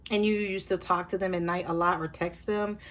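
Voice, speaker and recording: A woman with a hoarse voice giving a chatty tarot reading straight to her viewers. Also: fairly low pitch, a little fast, a little hiss or hum. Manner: relaxed, chatty, addresses viewers directly. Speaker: tarot reader.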